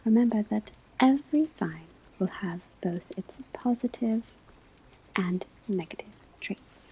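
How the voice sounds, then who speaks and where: A woman voicing a calm professor of divination at a witch school, in a soft, breathy whispered ASMR lecture with measured, instructive delivery. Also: mid-range voice. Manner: slow, deliberate, measured, instructive. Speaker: ASMR roleplay performer. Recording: clear background ambience noise. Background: classroom ambience, crackling fireplace, pages turning.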